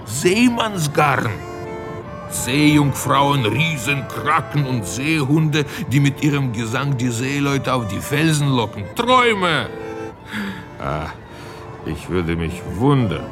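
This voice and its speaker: A man's voice: medium pitch, sing-song, slow, music in the background. An actor playing a mocking ship's chandler in a radio drama, theatrical and drawing out his exclamations. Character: scoffing, laughing male ship's chandler, doubtful of explorers.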